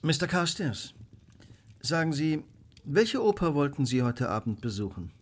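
A man playing a cool, eccentric detective in a German audio drama, brisk, ironic and self-assured. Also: on the high side, very animated.

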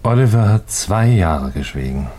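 Newspaperman, very deep, dark timbre, calm, measured, reassuring, radio play. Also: slight background noise. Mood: calm, firm. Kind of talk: radio crime drama acting.

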